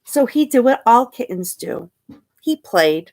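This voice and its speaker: A woman reads a children's picture book at a very slow pace. Her voice sits fairly high, and she is expressive, often pausing to question or show things to young listeners like a storytime teacher.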